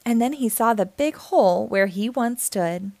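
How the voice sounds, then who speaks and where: A woman hosting storytime, reading a children's picture book aloud in an expressive, deliberate storytelling manner. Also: on the high side, vocal fry, dry recording. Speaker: storytime host and reader.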